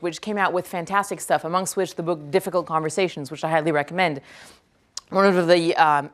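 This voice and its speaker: Brief: native French speaker, woman, lively lecturer in a hall, repeats each question before answering. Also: lowish voice. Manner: energetic, quick to praise, repeats each question aloud.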